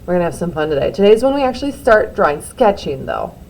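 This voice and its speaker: A woman's voice: fairly low pitch, sing-song, fast talker, a little mellow, slight background noise, poor audio. A woman teacher explaining a drawing assignment to her students in a chatty, encouraging way, with lots of filler words. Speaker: art teacher. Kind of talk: classroom instruction video.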